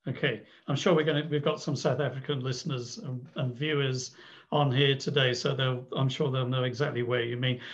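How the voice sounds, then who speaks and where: A man's voice: medium pitch, little pitch movement, fairly quick, lo-fi sound. A man hosting a podcast interview over a video call, asking questions and giving brief affirmations. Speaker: podcast host.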